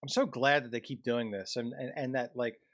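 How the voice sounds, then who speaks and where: A man's voice: mid-range voice, steady tone, fairly quick, fairly bright tone. A man hosting a reaction show, chatting casually with many fillers and open enthusiasm. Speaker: host reacting to a school video.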